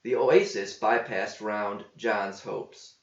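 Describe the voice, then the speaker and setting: Male narrator for epic verse, unhurried and measured, roomy slightly muffled sound. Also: fairly low pitch, steady tone. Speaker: author reading own verse epic. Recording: roomy, slightly dull home recording.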